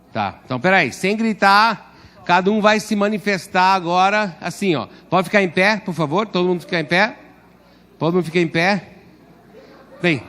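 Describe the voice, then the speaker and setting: A man's voice: high voice, a bit bright. A man teaching a church class in a casual, joking way, often correcting himself and raising his voice to direct the group; Portuguese is not his first language. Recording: long reverb in a hall.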